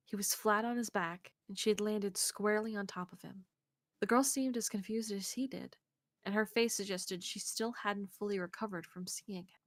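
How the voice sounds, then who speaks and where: A woman reading visual novel narration aloud on a game stream in an expressive way, close to the mic. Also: medium pitch, normal speed, dry recording. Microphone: close streaming mic, dry sound.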